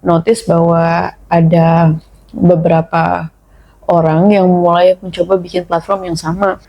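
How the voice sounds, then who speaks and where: A young woman in a casual podcast chat as a guest who teaches her language, speaking thoughtfully and pausing to pick her words. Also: on the low side, little pitch movement, mellow, poor audio. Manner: thoughtful, measured, with frequent pauses and restarts.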